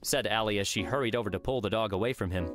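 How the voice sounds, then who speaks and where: A man's voice: lowish voice, fast, soft background music. A man reading a work of fiction aloud as scripted audiobook narration.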